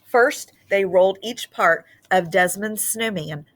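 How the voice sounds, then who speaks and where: A woman teacher reading a picture book aloud to her class in an expressive, sing-song way. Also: mid-range voice, slow. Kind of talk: storybook read-aloud for children.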